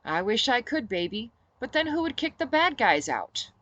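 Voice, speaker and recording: A woman's voice: on the high side, big pitch swings, moderate pace, fairly bright tone, no room sound, muffled recording, pitched above the performer's own voice. A woman voicing a battle-weary, blunt rebel captain, a woman, in an audiobook story. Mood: tender. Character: weary, sharp-tongued woman rebel captain, tender with children.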